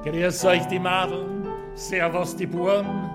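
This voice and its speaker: An actor with an Austrian accent playing a slick, jovial show host with a nasty streak, in a radio play. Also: male voice, high voice, little pitch movement, slow, loud music behind the voice. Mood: gushing. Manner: gushing, theatrical presenter patter. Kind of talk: radio drama acting as a show presenter.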